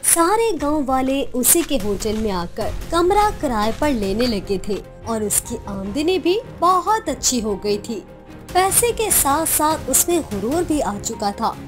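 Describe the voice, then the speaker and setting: A woman's voice: high voice, very animated, a little slow, soft background music. A woman reading scripted narration for a cartoon moral story.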